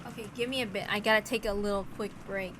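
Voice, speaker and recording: A woman's voice: mid-range voice, steady tone, fast, noisy background. A woman streamer narrating a visual novel aloud, with casual asides.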